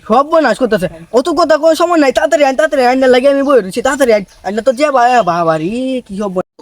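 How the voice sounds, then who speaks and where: Pushy scheming big-brother huckster played by a male actor, very high voice, rapid urgent patter, comic skit. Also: animated. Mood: urgent, frantic. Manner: urgent, repetitive salesman patter. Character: pushy, scheming elder brother hustling a fake faith healer. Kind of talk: amateur comedy skit acting.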